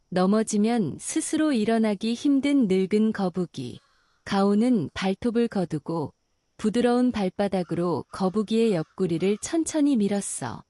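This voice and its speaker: Female storyteller for a bedtime story, mellow and soothing, melodic delivery, dry close sound. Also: medium pitch, no room sound. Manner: slow, soothing and gentle. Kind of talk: calm bedtime story narration.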